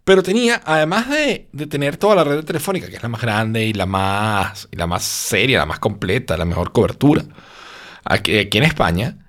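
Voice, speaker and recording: A man's voice: mid-range voice, expressive, brisk, a bit bright. A sleepy man from Venezuela with a Venezuelan accent, hosting a podcast and telling a rambling story full of little tag questions. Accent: Venezuelan Spanish. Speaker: podcast host. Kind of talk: casual podcast chat.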